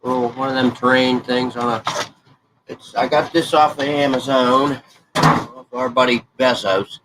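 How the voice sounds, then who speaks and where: A man's voice: medium pitch, steady tone, a little slow, heavy background noise. A congested man in his forties, chatting in a folksy, rambling way while he works on a crafting project. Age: 40s. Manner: folksy, rambling, self-correcting. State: congested, stuffed-up nose. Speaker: hobby crafting vlogger.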